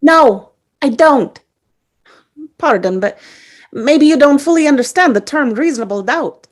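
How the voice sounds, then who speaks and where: A hot-tempered, bullying juror from a stage drama, played by a woman. The voice is fairly high and swings widely in pitch. The delivery is indignant, emphatic and full of sarcasm. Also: lively intonation, measured pace. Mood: irritated. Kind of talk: amateur table read of a stage drama.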